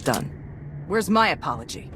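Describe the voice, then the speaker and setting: An actress playing a brash, sarcastic young engineer and thief in a video game, sassy and defiant. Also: female voice, lowish voice, big pitch swings, normal speed, background noise. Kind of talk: video game cutscene acting.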